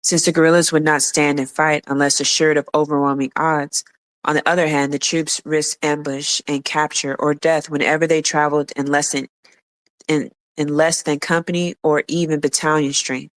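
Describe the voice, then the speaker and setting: Flat-voiced podcaster reading a book aloud. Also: mid-range voice, moderate pace, dry recording. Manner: reads steadily, stumbles and restarts words, drops blunt offhand remarks. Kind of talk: reading nonfiction aloud with casual asides.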